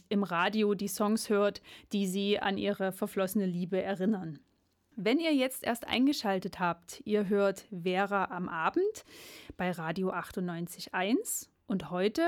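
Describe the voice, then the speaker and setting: Female radio presenter, mid-range voice, hosting a studio show with interview segments. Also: mid-range voice. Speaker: radio host.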